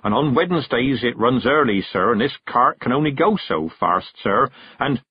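A man's voice: medium pitch, animated, moderate pace, husky, dry recording, highs cut off. A man voicing a meek, deferential country carter in a storybook, speaking hesitantly and apologetically. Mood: reproachful, apologetic.

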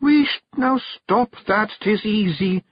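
Brief: bossy little Scottish fairy queen, much higher voice, sly and scolding, put on by a male reader, slightly husky. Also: takes it slowly, no room sound, dull audio, heavily acted. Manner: scolding, coaxing, artful. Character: small sharp-tongued fairy clan queen, female, bossy.

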